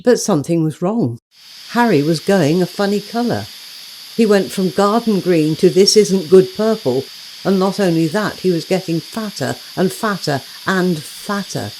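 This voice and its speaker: A woman narrating a children's bedtime story in an expressive, playful storytelling manner. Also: on the low side, normal speed, background noise.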